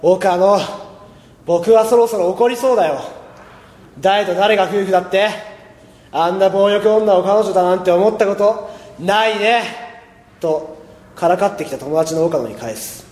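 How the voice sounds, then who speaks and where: A man playing a laid-back, dryly sarcastic high school boy who narrates and acts in an amateur radio drama. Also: a little slow, pauses often, darkish tone. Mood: exasperated, teasing. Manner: dry, mildly sarcastic. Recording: echoey, long reverb.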